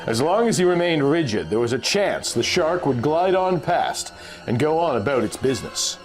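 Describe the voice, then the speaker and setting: A man's voice: fairly high pitch, very animated, normal speed, faint music behind. A man narrating a scripted adventure story in a theatrical, suspenseful storytelling manner. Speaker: narrator reading a pulp magazine story.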